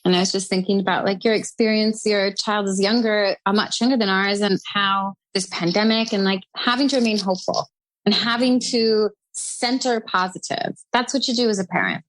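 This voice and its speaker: A woman's voice: mid-range voice, fairly even intonation, moderate pace, a bit bright, no echo, low-quality recording. A woman in her forties from South Dakota, a guest writer, talking reflectively in long, flowing thoughts. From South Dakota, United States. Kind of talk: casual conversation.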